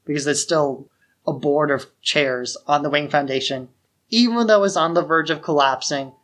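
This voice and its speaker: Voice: mid-range voice, fairly bright tone. A podcast host with a mid-range voice talks casually, walking the listener through a story. The delivery is conversational and explanatory, like recounting it to a friend. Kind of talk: casual film plot recap.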